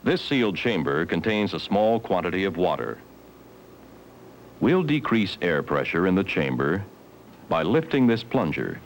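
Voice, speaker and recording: Low-voiced man narrating an educational film. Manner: measured, formal, instructional. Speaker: documentary narrator. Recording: 1970s film soundtrack with faint hiss.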